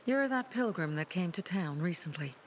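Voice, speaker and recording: A woman's voice: fairly low pitch, lively intonation, normal speed, a little hiss or hum, natural voice. An actress playing a firm, wary young woman in charge of a settlement's safety in a video game. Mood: wary, cool. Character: guarded, authoritative young female safety chief. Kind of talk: naturalistic video game acting.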